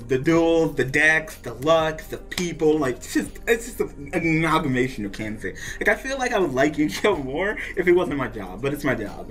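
A man's voice: on the high side, a bit bright. A young man venting over a card game he just played, swearing and laughing in exasperation as he comments. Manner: ranting, profane, laughing in exasperation.

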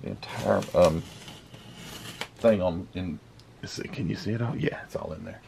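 Croaky-voiced man opening a parcel. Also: low voice, moderate pace, darkish tone, a little hiss or hum. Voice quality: rambling, restarts often. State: croaky morning voice. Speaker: model car channel host. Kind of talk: casual unboxing chat. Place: indoor room with camera on a table. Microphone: camera mic set back from him.

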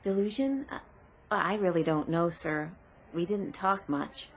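A woman's voice: fairly low pitch, a little slow, slight background noise. An actress playing a kindly, deferential female shop clerk in a radio drama, speaking hesitantly and soothingly. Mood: flustered, deferential.

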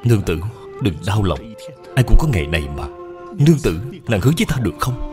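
A man's voice: on the low side, sing-song, fast talker. A man voicing an ailing, loving young husband in a cartoon dub, speaking with tender, earnest resignation. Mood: tender pleading. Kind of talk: animated film dubbing. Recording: prominent music under the voice.

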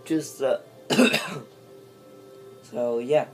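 Slow-talking congested man. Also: mid-range voice, steady tone, dark tone, soft background music. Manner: slow, apologetic. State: congested, coughing. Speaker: let's play host. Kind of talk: casual gameplay commentary. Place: dim room at home, filming a television.

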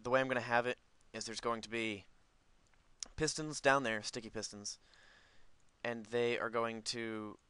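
A man's voice: mid-range voice, fairly even intonation, a little slow, bright, forceful tone, clear, resonant tone, dry recording. A man giving casual, unscripted gameplay commentary, rambling as he thinks aloud. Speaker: gaming video host.

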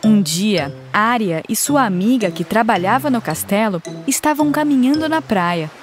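A woman's voice: mid-range voice, normal speed. Woman narrating a cartoon fairy tale. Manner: steady, expressive storytelling. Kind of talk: scripted storybook narration. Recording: cartoon narration with music behind.

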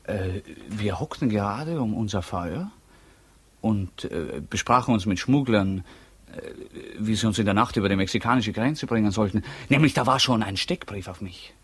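Give the voice of a boastful male prisoner in a 1950s radio play. His voice is slightly low and husky. He tells tall adventure tales theatrically, with hesitant, dramatic pauses. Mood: boastful, musing.

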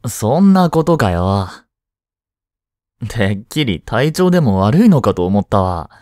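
A young man playing a teasing but tender schoolboy boyfriend in a voice drama, speaking casually and softly with hesitant pauses. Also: lowish voice, big pitch swings, dry recording, clean studio sound. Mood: light teasing. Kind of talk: Japanese boyfriend voice drama, intimate one-sided dialogue.

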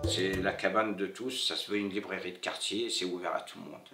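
A middle-aged man from Morocco who learned French later in life, telling his own story reflectively and haltingly, searching for his words. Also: sits low, fairly even intonation, loud music behind the voice. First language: not French, learned it after moving to France. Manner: halting, searching for words with many pauses and repetitions. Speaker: interviewee, bookseller. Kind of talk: reflective personal storytelling in an interview. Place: indoor room with bare wall, seated. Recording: roomy echo, slightly distant mic.

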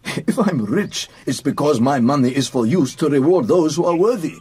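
An actor playing a proud, rich racehorse owner in a radio play, husky, formal and assertive. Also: male voice, a little high, animated, normal speed, darkish tone. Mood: indignant, proud. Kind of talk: radio drama acting.

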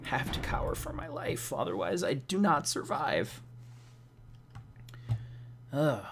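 Male let's player, mid-pitched and a little hoarse, wry exasperated commentary, game music clearly behind. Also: big pitch swings, slow pace. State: slightly hoarse. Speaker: let's play host. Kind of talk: casual gameplay commentary. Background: video game music.

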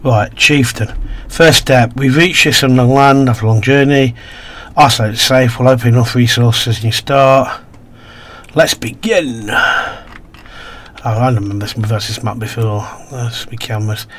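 Croaky-throated man narrating a game. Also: medium pitch, fairly even intonation, a little slow, fairly bright tone. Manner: mumbles to himself while playing, reads game text aloud. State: croaky throat, slight cough. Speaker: gaming video host. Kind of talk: casual let's-play commentary.

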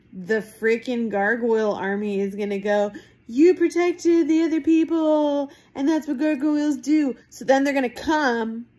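Husky woman, chatting casually. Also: on the high side, darkish tone. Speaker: book vlogger giving reading reactions. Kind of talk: casual reaction chat to camera. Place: small room at home, indoors. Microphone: phone at arm's length.

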